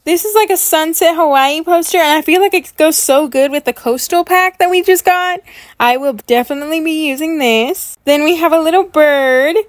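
A woman's voice: sits high, normal speed, no room sound, studio quality. A woman giving chatty, gushing commentary as a gaming YouTuber unboxing items in a game. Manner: enthusiastic, gushing.

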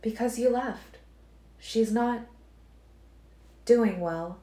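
A calm, unshakable young seer with a higher, lighter voice, put on by a woman narrator. She speaks gently but will not budge. The recording has a roomy echo. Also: very slow. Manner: calm, implacable.

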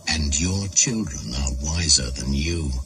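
Deep, slow, solemn shaman. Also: lively intonation, a bit bright, slight background noise, full character voice. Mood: grave reproach. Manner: grave, incantatory. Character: solemn male shaman addressing spirits at winter solstice. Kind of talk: 1980s British radio drama monologue.

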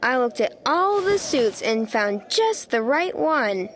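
A woman narrating a children's storybook in an animated, childlike way, as an eager young critter telling the story. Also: on the high side, slow pace. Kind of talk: children's read-aloud narration.

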